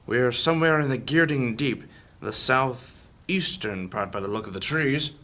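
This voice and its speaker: Man playing crisp, forceful old wizard. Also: medium pitch, measured pace. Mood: courteous, weary. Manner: formal, courteous, reasoning. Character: elderly green-robed mage, measured and reasonable peacemaker. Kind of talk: fantasy audio drama acting.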